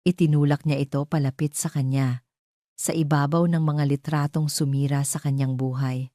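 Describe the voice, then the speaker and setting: A woman narrates a scripted audio drama. Her voice sits low, with a soft dark tone. The sound is very dry. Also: steady tone, moderate pace, no room sound.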